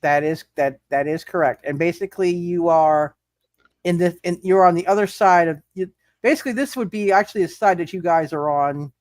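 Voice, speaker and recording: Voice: mid-range voice, fairly quick, darkish tone, no echo, clean studio sound. A game master running a live tabletop roleplay, thinking aloud and often repeating words and phrases while narrating and making rulings.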